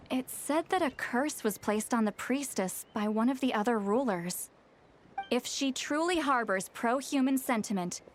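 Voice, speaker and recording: Woman playing a poised scholarly game character, higher-pitched. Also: slight background noise, natural voice. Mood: measured. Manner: measured, articulate, precise. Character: poised, scholarly young woman, calm and secretive. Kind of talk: video game dialogue, expository.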